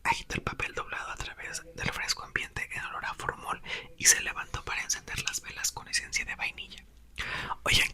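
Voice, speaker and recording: A man reads a story aloud in a breathy, intimate ASMR whisper, with soft and expressive narration. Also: high voice. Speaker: ASMR creator reading a book aloud.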